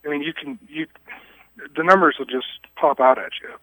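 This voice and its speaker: Halting male interviewee on a dry phone call. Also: a little high, pushed and bright, no room sound. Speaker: union vice president, anonymous interviewee. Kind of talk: candid phone interview answers. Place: telephone call. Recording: recorded telephone call.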